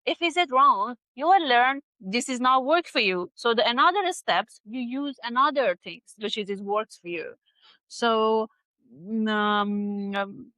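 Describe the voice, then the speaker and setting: Co-host: a woman in her thirties, non-native English speaker, higher voice, dry close sound, lively and word-searching. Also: no room sound, rough recording. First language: not English. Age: 30s. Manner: non-native grammar, animated, repeats words when searching. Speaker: podcast co-host. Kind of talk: casual chat.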